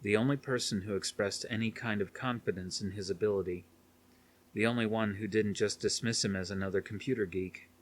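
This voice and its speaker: Low-voiced man narrating a thriller. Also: steady tone, fairly quick, slight background noise. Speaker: audiobook reader. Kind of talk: scripted narration of a thriller novel.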